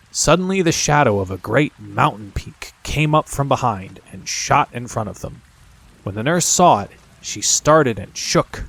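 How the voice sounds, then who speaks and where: A man narrating a classic children's story as an audiobook, in a measured, expressive storytelling manner. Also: medium pitch, poor audio.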